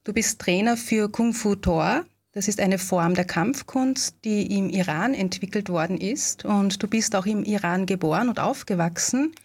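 A woman hosting a podcast, introducing the episode and asking clear, structured questions. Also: mid-range voice, moderate pace, hardly any pauses, darkish tone. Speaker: podcast host. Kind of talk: interview, scripted intro.

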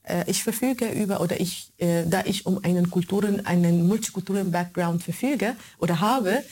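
Arabic-accented woman, halting interview speech. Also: lowish voice, a little mellow, husky. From born in Germany, raised in Kuwait and Egypt. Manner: halting, searching for words in non-native German. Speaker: radio show maker being interviewed. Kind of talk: conversational interview answers.

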